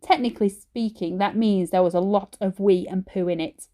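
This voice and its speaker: A woman teacher reading a children's story aloud in an expressive storytelling style. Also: medium pitch, moderate pace.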